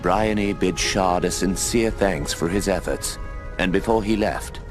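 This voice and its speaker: A man narrating a game story in a measured, poetic way, over game music. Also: sits low.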